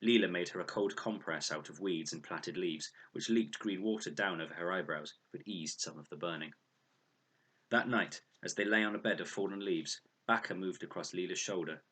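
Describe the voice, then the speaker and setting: A man reading a children's novel aloud as an expressive storyteller. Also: low voice, breathy edge. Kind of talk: expressive children's audiobook narration.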